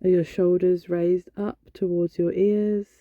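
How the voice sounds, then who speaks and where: A woman leading a guided body scan meditation in a gentle, soothing, instructional manner. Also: fairly low pitch, little pitch movement, a little slow. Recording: dull, lo-fi, roomy echo.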